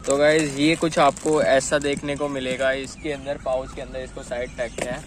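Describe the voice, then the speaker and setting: A man's voice: mid-range voice, level tone, normal speed, over loud music. A young man casually unboxing and testing a gadget, talking to viewers as guys, recorded outdoors on a phone camera. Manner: chatty, addresses viewers as guys. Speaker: vlogger. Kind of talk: casual unboxing and test vlog. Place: outdoors in an open dirt field, later riding on a street. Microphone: phone camera, later a chest-mounted phone.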